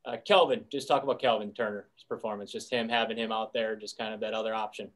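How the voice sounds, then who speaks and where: A man, a sports reporter, asking questions at a press conference in quick, halting bursts with many "uh"s. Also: on the low side, steady tone.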